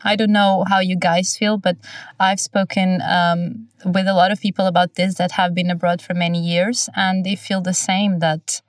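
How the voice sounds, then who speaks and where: A young woman from Portugal hosts a relaxed podcast chat, friendly and halting, filling pauses as she goes. She sits a little back from the microphone, and the studio sound is dry with no room echo. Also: lowish voice, far from the mic. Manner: hesitant, friendly, many fillers. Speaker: podcast host. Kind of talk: casual chat.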